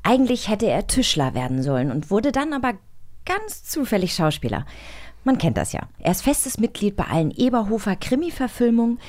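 A woman's voice: medium pitch, very animated, a little fast, a little mellow. A woman from northern Germany presenting a podcast in a casual, conversational style. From island of Rügen, northern Germany. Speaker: podcast host.

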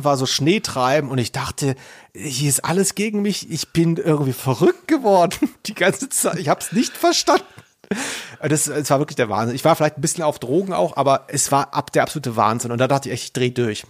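A man's voice: medium pitch, animated, brisk. A man hosting a podcast in casual conversation, telling personal anecdotes in a rambling, self-deprecating way. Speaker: podcast host.

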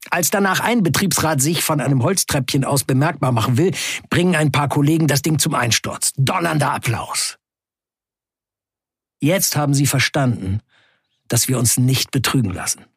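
A man playing a defiant factory worker in an audio drama, narrating in the first person with emphatic, measured delivery and a husky voice. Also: fairly high pitch, no echo, clean studio sound. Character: defiant male Turkish factory worker recounting a wildcat strike.

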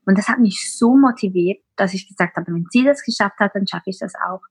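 A woman in her twenties with a Swiss German accent, talking openly as a podcast guest, often pausing on filler sounds. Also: medium pitch, husky, no echo. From Switzerland. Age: 20s. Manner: open, many filler sounds. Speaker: podcast guest, teacher and blogger. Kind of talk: casual interview talk.

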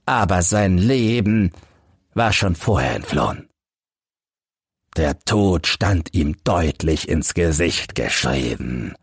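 Bitter old crippled soldier done by a guy reading an audiobook, really animated pitch, slow, very dry sound. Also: breathy edge, no room sound, full character voice. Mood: grim, bitter. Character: embittered old crippled soldier confessing his tale.

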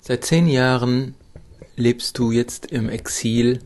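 Calm male podcast interviewer. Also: medium pitch, slow. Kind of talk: interview questions.

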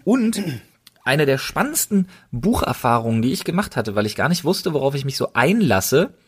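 A man's voice: mid-range voice, very animated, normal speed, husky. A man hosting a casual podcast, recommending things in an enthusiastic, colloquial way. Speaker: podcast host.

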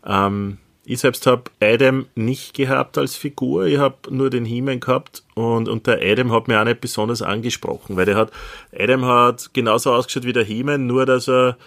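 A man's voice: medium pitch, steady tone, normal speed, a bit bright. A middle-aged Austrian man chatting casually as a podcast co-host, reminiscing in an easy back-and-forth.

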